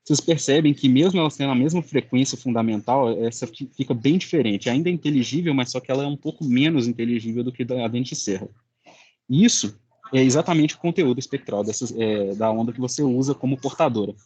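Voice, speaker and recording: Young brazilian guy explaining his project, slightly rough voice, stop-and-start, dry sound. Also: mid-range voice, darkish tone, no room sound, lo-fi sound. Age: young adult. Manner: halting, searching for words. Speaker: student presenting final project. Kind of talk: informal explanatory presentation.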